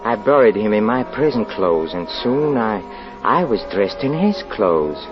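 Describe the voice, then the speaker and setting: An actor playing an escaped convict posing as a minister, sly and unhinged, with halting, nervy speech in 1940s radio thriller style. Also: male voice, on the low side, very animated. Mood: feverish confession. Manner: halting, nervy, with repeated words. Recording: muffled old radio recording with music.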